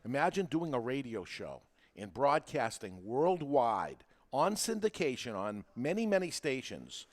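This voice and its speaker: Male podcast host, mid-pitched, making his case informally and emphatically, with repetition and rhetorical questions. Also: sing-song, measured pace, few pauses. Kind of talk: informal talk show monologue, explaining and persuading.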